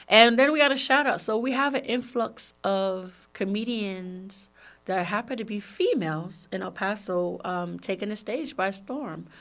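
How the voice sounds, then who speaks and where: A woman's voice: on the low side, creaky. A woman with a Brooklyn New York accent hosting a casual solo podcast, talking emphatically and conversationally with frequent rhetorical questions. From Brooklyn, New York, United States. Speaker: podcast host and comedian.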